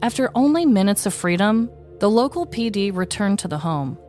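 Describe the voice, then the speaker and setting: Woman, podcast host, storytelling and chat, middle pitch, very varied intonation, faint background music. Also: big pitch swings, normal speed, a little mellow. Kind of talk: scripted true-story narration and casual chat.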